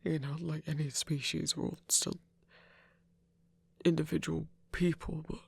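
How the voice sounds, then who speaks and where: A man playing a shy, gentle lone werewolf hunter in an intimate audio roleplay, speaking haltingly with stammers in a breathy voice. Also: on the high side, fairly even intonation, no room sound, exaggerated voice. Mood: hesitant, awkward. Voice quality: breathy, husky.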